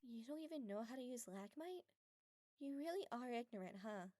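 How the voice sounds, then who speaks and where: A woman playing a gentle, kindly young woman in an anime-style audio drama dub, soft-spoken and caring with a light teasing streak. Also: fairly high pitch, animated, moderate pace, no room sound, clean studio sound. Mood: amused.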